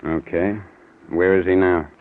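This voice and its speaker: An actor playing a hard-nosed police detective captain, brusque and authoritative, in 1940s radio style. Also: male voice, low-pitched, level tone, a little slow. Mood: stern questioning. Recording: tinny, narrow old radio recording.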